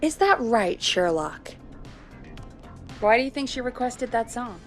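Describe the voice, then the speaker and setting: A radio producer in a video game, dry and bossy with sardonic teasing. The voice is fairly high, and faint music plays behind it. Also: female voice, expressive, measured pace. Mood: sardonic, impatient.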